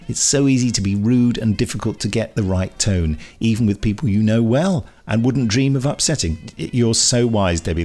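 A middle-aged man hosting a podcast, chatting casually and reading out emails in an enthusiastic, rambling way. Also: fairly low pitch. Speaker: podcast host.

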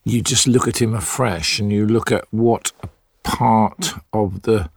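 A man's voice: fairly low pitch, slow, vocal fry, slight background noise. A middle-aged man, an actor, talking reflectively in an interview. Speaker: audio drama actor.

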